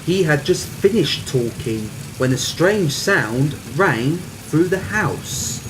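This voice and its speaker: A man's voice: on the high side, lively intonation, slow pace, noisy background. A man narrating a scripted Halloween radio story in a dramatic style.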